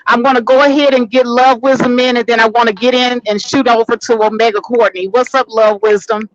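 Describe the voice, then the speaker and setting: A woman with a high voice hosts a livestream panel and calmly welcomes new guests. Her audio is dry and a bit dull. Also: brisk, no room sound. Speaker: stream host.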